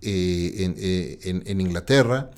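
Guy hosting a podcast, lowish voice, thoughtful long questions. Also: slow pace, a little mellow. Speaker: podcast host. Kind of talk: conversational interview.

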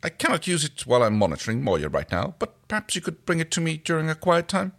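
A man voicing a gentle, earnest alien ship's pilot in a story, formal and courteous. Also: fairly high pitch, wildly swooping pitch, fast, creaky, voice pushed up, heavily acted. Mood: grateful, polite.

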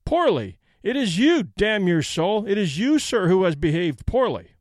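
A man's voice: high voice, very animated, a little slow, no echo, studio quality, voice pushed up. A man voicing a furious male general, commanding and outraged, who curses and roars indignantly. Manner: roaring, cursing, indignant.

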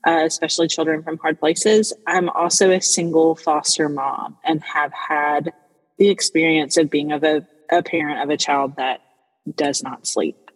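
A woman speaks softly and plainly. Her voice is low and husky. A long reverb follows her words. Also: steady tone, moderate pace, soft background music. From South Mississippi. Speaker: speech therapist guest. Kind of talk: podcast self-introduction.

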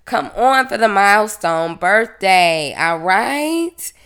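A woman's voice: medium pitch, takes it slowly, roomy sound. A woman in her thirties hosting a podcast, upbeat and chatty, sounding a little nasal from congestion. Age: 30s. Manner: upbeat, energetic, laughs often. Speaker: podcast host. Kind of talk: casual conversational interview.

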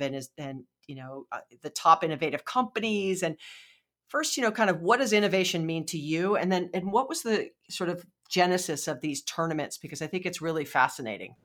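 Woman, podcast host, lowish voice, chatty, enthusiastic, lots of fillers. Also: a bit bright. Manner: chatty, many fillers and restarts. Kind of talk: podcast interview.

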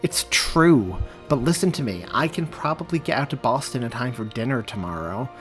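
A man reading as a tired, apologetic middle-aged police officer and husband with a hoarse voice. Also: mid-range voice, animated, moderate pace, a bit bright, faint music behind, close to an everyday voice. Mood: pleading.

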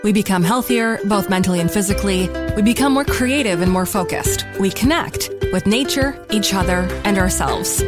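A woman's voice: medium pitch, normal speed. A woman podcast host reading a scripted promotional narration. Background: prominent music.

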